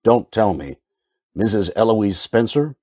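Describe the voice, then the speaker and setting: Male actor as noir detective narrator, world-weary and wry, deep, relaxed voice, old-time radio drama. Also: measured pace. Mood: dry, sardonic. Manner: dry, sardonic.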